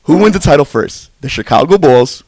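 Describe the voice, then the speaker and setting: A man's voice: fairly high pitch, expressive, brisk, a little mellow, breathy edge, dry recording. An American man hosting a conversational sports podcast interview, talking in long, winding questions.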